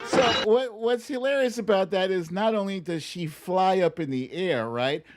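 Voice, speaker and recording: A man hosting a live show with casual, conversational commentary, using playful emphasis and dramatic pauses. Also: sits high, soft background music. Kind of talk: casual livestream commentary, reading viewer comments.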